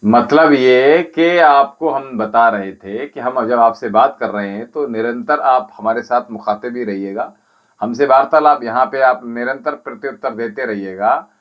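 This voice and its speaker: A man hosts a live spiritual session and answers viewers one by one, addressing each by name. He talks in a measured, instructive way at an ordinary middle pitch. The stream audio sounds dull and lo-fi. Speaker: spiritual teacher and Ayurvedic healer. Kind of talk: livestream answering viewer comments. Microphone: livestream setup.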